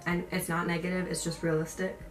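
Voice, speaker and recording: A woman's voice: fairly low pitch, flat delivery, quick pace. Young woman vlogging in an echoey room. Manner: informal, emphatic, repeats phrases for encouragement. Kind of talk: casual chat to camera, storytelling and advice. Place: indoor room. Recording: roomy echo, distant mic, faint noise.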